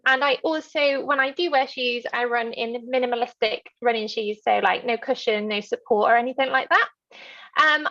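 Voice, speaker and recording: Bright, high-voiced woman. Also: lo-fi sound. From London, England. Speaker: interview guest, ultra runner. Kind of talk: casual interview chat.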